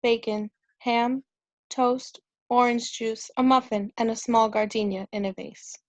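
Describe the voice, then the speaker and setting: Slow-reading woman with a highish voice. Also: fairly even intonation, no echo. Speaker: stage directions reader. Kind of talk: scripted narration of stage directions.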